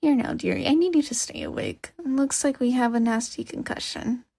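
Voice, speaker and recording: A woman voicing a kindly, brisk older granny who fusses reassuringly with endearments in an audiobook story. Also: high-pitched, vocal fry, mellow, slightly rough, no echo, full character voice. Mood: gentle concern.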